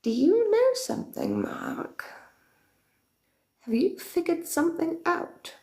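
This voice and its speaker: A woman acts out an ancient, villainous queen of darkness who addresses her followers in a slow, theatrical murmur. Her pitch swoops widely from phrase to phrase, often sinking into a breathy whisper. The voice carries a roomy echo. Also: wildly swooping pitch, darkish tone, heavily acted. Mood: sinister, theatrical. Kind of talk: in-character chat with viewers.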